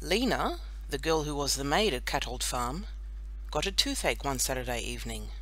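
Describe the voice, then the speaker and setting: A woman narrating a children's audiobook in an expressive, deliberate storytelling manner. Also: very deep voice, rough recording. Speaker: audiobook reader.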